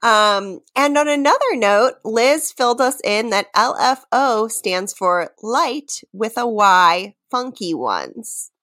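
A woman co-host chats casually and jokes around on a comedy podcast. Her voice is fairly high and swings widely in pitch, with a playful manner, recorded dry. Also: lively intonation, slow pace, few pauses, fairly bright tone, no room sound. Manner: playful, joking.

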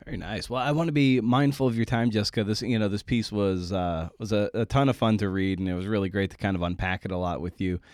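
A man's voice: lowish voice, steady tone, fast, hardly any pauses, a little mellow, no echo, clean studio sound. A man hosting a podcast in a casual, conversational style, with an informal, wry and self-deprecating manner. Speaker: podcast host and interviewer.

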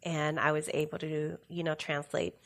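A woman whose first language is Spanish speaks as a podcast guest, her voice low with a gravelly fry. She talks with eager enthusiasm and laughs easily. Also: little pitch movement, moderate pace. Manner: enthusiastic, warm-hearted, laughs often. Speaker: interview guest, safety leader. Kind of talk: casual interview chat.

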